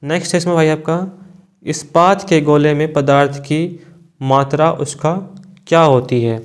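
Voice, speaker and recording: A man's voice: a little high, fairly even intonation, normal speed, clear, resonant tone. A man, an exam coaching teacher reading out quiz questions and giving answers in an informal, brotherly way.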